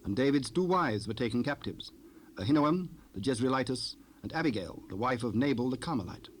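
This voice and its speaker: A man narrating scripture from a script in a measured, solemn manner. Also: mid-range voice, animated. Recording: faintly noisy 1970s cassette rip.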